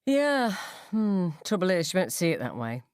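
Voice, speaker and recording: A woman's voice: on the low side, very animated, normal speed, a little mellow, clean tone, no echo, clean studio sound. A woman playing a wry, blunt, resentful mother of a rebellious daughter in a radio story. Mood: doubtful. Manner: blunt, sardonic.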